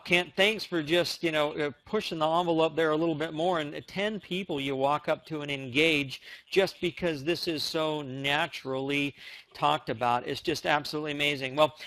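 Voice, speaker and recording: An American man presenting on a conference call, giving an enthusiastic, emphatic motivational sales pitch. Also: steady tone, normal speed, a bit bright. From United States.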